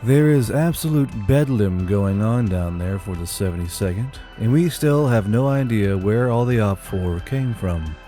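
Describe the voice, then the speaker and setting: A man acts out a seasoned space-infantry sergeant in an audio drama. He briefs calmly and matter-of-factly in a low, mellow voice, with music behind him. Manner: steady, matter-of-fact briefing.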